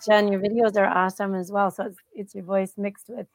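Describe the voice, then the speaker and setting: A woman's voice: mid-range voice, level tone, a little fast, dry recording. A woman hosting a live online show over a video call, upbeat and encouraging. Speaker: host.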